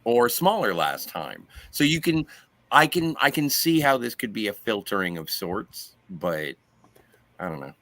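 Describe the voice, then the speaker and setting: A man with a fairly low, bright voice chats on a review panel. His pitch swings in a lively way, and he comes across as wry and skeptical, recorded close and dry. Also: unhurried, dry recording. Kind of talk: casual panel banter and discussion.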